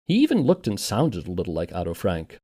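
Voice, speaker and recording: A man's voice: low voice, huge pitch swings, brisk, background noise. A man narrating a scripted documentary podcast as its host and interviewer.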